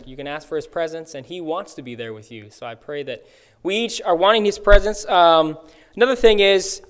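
A young man speaking at a church pulpit, praying and sharing a short devotional in an earnest, halting way. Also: on the high side, clean tone. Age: young adult. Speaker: worship leader. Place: church sanctuary, at a wooden pulpit. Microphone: pulpit mic. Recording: long reverb of a church hall.

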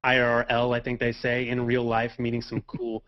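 A man's voice: medium pitch, monotone, moderate pace. A man in his thirties answering interview questions casually as a podcaster guest on a lo-fi video call. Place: home office on a video call. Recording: dull lo-fi video call audio.

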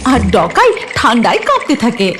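A woman's voice: fairly high pitch, wildly swooping pitch, a little fast, music in the background. A woman narrating a scripted cartoon horror story in a dramatic storytelling manner.

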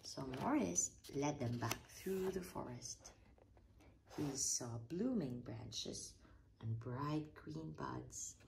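A woman reading a children's story aloud with expressive, deliberate narration, over faint handling noise. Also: deep voice, creaky. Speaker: storybook reader.

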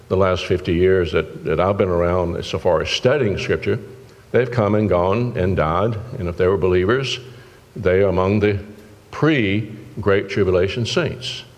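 An older man preaching a sermon from a church pulpit, in an earnest, folksy way. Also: deep voice. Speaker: pastor. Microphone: pulpit microphone. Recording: long church-hall reverb.

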